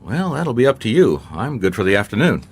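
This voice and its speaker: Wry, deadpan private eye, expressive delivery. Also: male voice, mid-range voice, fairly quick, breathy edge. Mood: patient, dry. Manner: deadpan, sardonic, folksy. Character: wry male private detective, world-weary but patient. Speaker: detective and first-person narrator. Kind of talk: radio mystery acting, noir narration.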